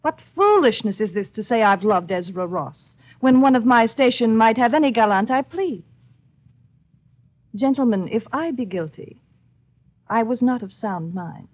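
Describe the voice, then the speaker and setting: A woman's voice: on the high side, lively intonation, moderate pace. A woman playing a proud, defiant accused widow in an old radio drama, speaking in formal, haughty rhetoric. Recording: dull, lo-fi old radio recording.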